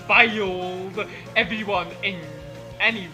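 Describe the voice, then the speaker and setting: A man chatting casually and jokingly, rambling along with halting restarts. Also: sits high, fairly even intonation. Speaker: co-host reading fan fiction aloud. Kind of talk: casual chat. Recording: loud music over the voice. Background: music.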